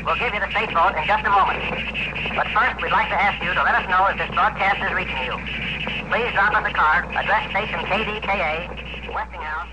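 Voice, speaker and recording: Fast high-voiced old-time radio announcer, heavy noise. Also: male voice. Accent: American. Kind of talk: 1920s radio news bulletin. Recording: noisy, muffled old radio recording.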